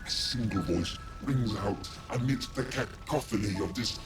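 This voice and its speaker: An actor playing a menacing, grandiose machine hive-mind leader in a video game, declaiming with contempt. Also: male voice, fairly low pitch, natural voice. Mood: menacing, solemn. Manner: slow, ominous, grandly declamatory. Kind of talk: video game cutscene acting. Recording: loud music over the voice.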